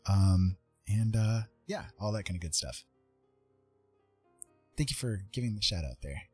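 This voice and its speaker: Male streamer, deep-leaning raspy voice, friendly rambling chat, casual welcoming delivery, background music. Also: lively intonation, unhurried, a little mellow. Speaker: livestream host, digital artist. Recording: music playing behind the voice.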